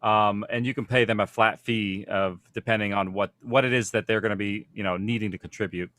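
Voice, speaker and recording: A man's voice: fairly low pitch, fairly even intonation, brisk, sharp tone. A man working in audio production, explaining things casually in an informal panel discussion.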